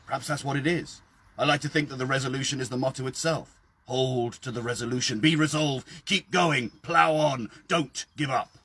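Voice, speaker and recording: Older storybook townsman, played by a man: wry, folksy, stoical, emphatic, raised pitch. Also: moderate pace, a bit bright, slightly rough, no echo. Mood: earnest, encouraging.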